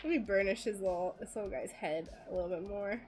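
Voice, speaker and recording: A woman's voice: mid-range voice, fast talker, darkish tone, slightly rough. An American woman streaming her craft work, chatting casually and giggling, with a slightly worn voice. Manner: chatty, giggly, rambling with fillers. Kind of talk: casual chat while working.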